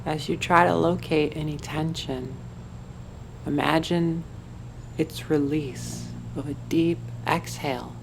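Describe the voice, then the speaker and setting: A woman narrating a guided meditation in a soothing, deliberate, instructive way, as the guide. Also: deep voice, steady tone, vocal fry, background noise.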